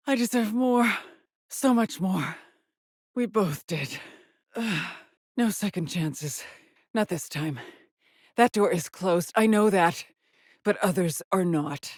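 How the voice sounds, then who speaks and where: An actress playing a haunted, weary woman astronaut stranded alone on an alien world in a video game, with a breathy voice and a grave, brooding delivery. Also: medium pitch, expressive, slow, pauses often, dry recording, studio quality. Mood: weary, bitter. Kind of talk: dramatic game voice lines, monologue logs.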